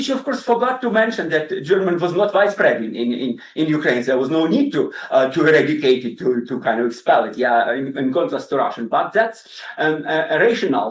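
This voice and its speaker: A man giving an academic lecture as guest speaker, halting, with many fillers and repeated words. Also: on the high side. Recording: roomy, distant lecture-hall sound.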